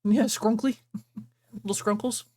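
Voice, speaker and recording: A woman's voice: fairly high pitch, steady tone, slow, darkish tone. A woman livestreamer chatting casually with viewers and reading fan fiction aloud.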